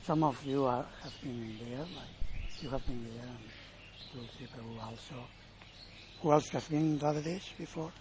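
A man from Spain, answering audience questions in an informal presentation, often pausing and correcting himself. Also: medium pitch, background noise. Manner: halting, self-correcting, explanatory. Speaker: college representative.

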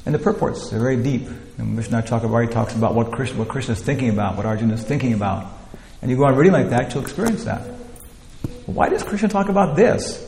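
Gravelly man lecturing in a roomy hall. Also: mid-range voice, expressive, fast, few pauses, a little mellow, faint music behind. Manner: rambling, many "you know" fillers and restarts. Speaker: teacher leading a scripture class. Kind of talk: informal spiritual lecture. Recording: roomy hall with long echo, faint ambience.

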